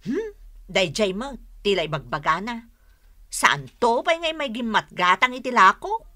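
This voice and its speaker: A woman's voice: mid-range voice, very animated, measured pace, bright, forceful tone. A woman acting a feisty young barbecue vendor with a scolding, exclamatory manner and an Ilocano accent, in a radio drama. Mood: indignant.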